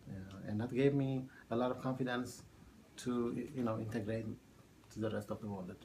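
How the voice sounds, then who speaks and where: A man in his thirties from Ethiopia, a math teacher answering oral history questions in a halting way with many fillers. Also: medium pitch, fairly even intonation, creaky, a little mellow, slight background noise. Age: 30s.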